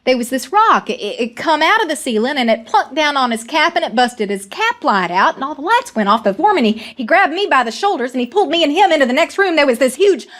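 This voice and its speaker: Woman as young coal miner, dramatic monologue, medium female pitch, folksy rural phrasing, earnest, halting, repeated words. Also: natural voice. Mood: urgent, alarmed. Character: young coal miner recalling a deadly cave-in, plainspoken, earnest. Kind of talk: dramatic first-person storytelling monologue.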